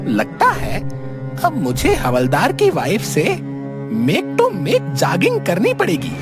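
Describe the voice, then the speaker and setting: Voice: medium pitch, huge pitch swings, slow, music in the background. A woman voicing a childish, boastful cartoon ghost with a theatrical, sing-song drawl. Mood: scheming. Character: childish, boastful ghost, meant to scare, easily cowed. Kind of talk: cartoon voice acting.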